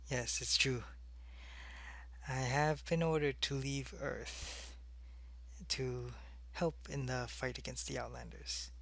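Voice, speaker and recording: Man playing an alien hive spy, mid-pitched, crackly fry, earnest, devoted, halting delivery, ASMR roleplay. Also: fairly bright tone. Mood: subdued, regretful. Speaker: voice actor in an audio roleplay.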